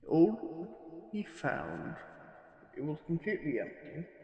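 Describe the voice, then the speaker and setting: A man playing a formal, sceptical archivist who narrates case notes in a measured, dry, deliberate way for a parody horror podcast. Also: on the high side, huge pitch swings, vocal fry, mellow, dull audio, full character voice. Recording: echoey room with long reverb.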